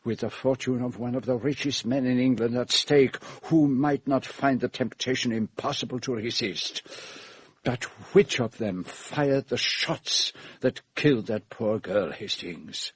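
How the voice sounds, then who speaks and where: Husky, shrewd Belgian gentleman detective. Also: mid-range voice, measured pace. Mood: grave, deliberate. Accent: French-speaking Belgian. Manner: formal, precise, theatrical phrasing. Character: shrewd, self-assured foreign gentleman detective, mature. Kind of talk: radio drama acting.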